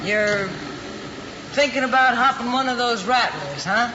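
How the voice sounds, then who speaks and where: An actor with an American accent playing a world-weary, blunt counter worker in a muffled, noisy old television drama. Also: male voice, extremely high pitch, animated. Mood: knowing, blunt. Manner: folksy, blunt, unhurried working-class talk. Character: world-weary, plain-spoken older lunch counter worker. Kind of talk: mid-century American television drama acting.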